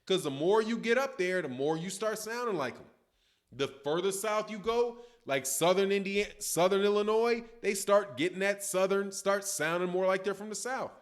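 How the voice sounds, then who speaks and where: High-voiced middle-aged Chicago man. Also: moderate pace. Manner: loose, talkative, slangy. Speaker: boxing channel host. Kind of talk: casual livestream chat reading viewer comments. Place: dim room at home, indoors. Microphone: webcam, seated at desk.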